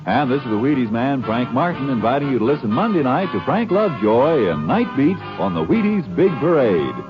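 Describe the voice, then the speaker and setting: A man working as a radio announcer and sponsor pitchman, jovial and folksy, in old-time ad banter. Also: sing-song, a little fast. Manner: jovial, folksy salesman delivery. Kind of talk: 1940s-50s radio ad banter. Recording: muffled old radio recording with music.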